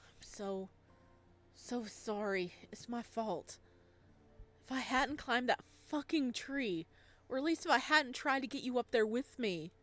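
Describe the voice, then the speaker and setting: A woman's voice: a little high, lively intonation, measured pace, a bit bright. A woman voicing a tender, guilt-ridden young man in a visual novel, speaking in an earnest, hesitant way. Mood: guilty. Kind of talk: visual novel dialogue read aloud.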